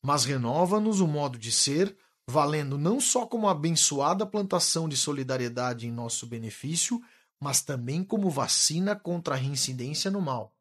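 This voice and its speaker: An actor playing a calm, wise male spirit instructor who speaks in a measured, gently authoritative way. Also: fairly high pitch, slightly rough. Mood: patient teaching. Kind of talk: radio drama acting.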